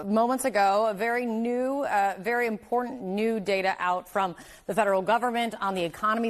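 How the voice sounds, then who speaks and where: An American woman working as a news anchor, delivering breaking news live. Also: medium pitch.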